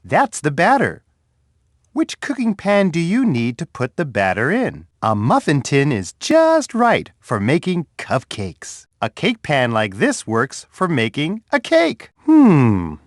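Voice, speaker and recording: A man voicing a big, friendly male bear in a children's game, who speaks slowly and coaxingly to a young child. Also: on the high side, dry recording, studio quality, heavily acted. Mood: curious. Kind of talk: educational game voice clips.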